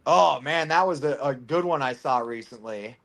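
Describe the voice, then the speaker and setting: A man's voice: mid-range voice, normal speed, creaky, a bit bright. A man at home on a group call, talking in a laid-back, profane way and laughing often. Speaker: call participant. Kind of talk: casual group chat.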